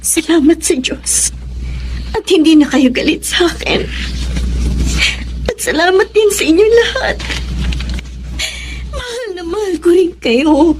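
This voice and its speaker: A devoted young elder sister with a high-pitched voice. She sounds emotional and burdened by guilt, in radio drama style. The recording is audibly noisy with ambience behind her. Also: animated, unhurried, long pauses, a little mellow. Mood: tearful, grateful. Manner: emotional, heartfelt. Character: devoted, guilt-ridden older sister, loving and grateful. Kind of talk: Tagalog radio drama acting.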